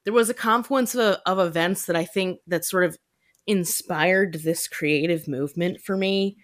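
A woman's voice: lowish voice, normal speed, no echo, clean studio sound. A woman podcast host chatting casually and self-deprecatingly, often laughing. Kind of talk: casual chat.

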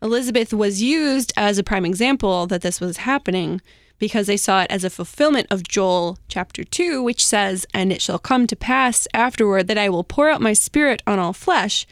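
Woman podcast host, medium pitch, chatty informal storytelling, dry close sound. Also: animated, moderate pace, dry recording. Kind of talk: conversational storytelling from research.

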